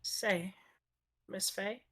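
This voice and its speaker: A woman's voice: mid-range voice, steady tone, very slow, background noise. A woman playfully reading game dialogue aloud among friends in a casual style. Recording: music behind the voice.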